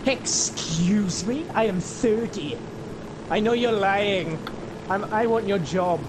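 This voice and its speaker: A young man with a Welsh accent chatting and joking excitedly with a friend while playing a co-op shooter, his voice jumping high when he gets hyped. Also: takes it slowly, background noise. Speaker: gaming streamer. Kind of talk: casual co-op gameplay chat.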